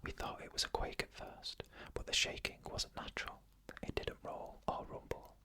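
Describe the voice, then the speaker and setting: Bright, breathy ASMR whisper narrator. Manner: fully unvoiced, breathy whisper throughout; slow, measured delivery with drawn-out pauses. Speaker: ASMR storyteller.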